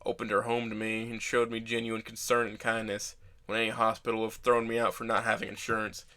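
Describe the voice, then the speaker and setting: A man voicing an injured, self-deprecating teenage boy who narrates his own story in a measured, wry, deadpan way for an audio drama. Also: on the low side. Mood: wry, bitter. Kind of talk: first-person scripted narration.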